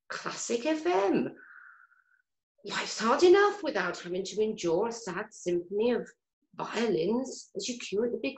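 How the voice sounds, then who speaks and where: A woman's voice: on the high side, creaky, darkish tone, full character voice. An actress playing a chatty, fussing, irritable middle-aged mother in a radio play, gossipy and exasperated. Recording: roomy, echoing sound.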